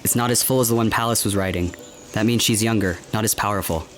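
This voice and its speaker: An actor playing a gentle, earnest young male warrior in a fantasy radio play, with music underneath. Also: lowish voice, steady tone, fast, a little hiss or hum, natural voice. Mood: warning, teasing.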